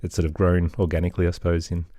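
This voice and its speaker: A middle-aged man talking casually and reflectively as a podcast guest, thoughtful and tentative, often softening what he says. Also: low-pitched, completely flat delivery, racing, dry recording, studio quality. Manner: hesitant, thoughtful, hedging with softeners. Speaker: podcast guest, children's audio storyteller. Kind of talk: casual reflective interview talk.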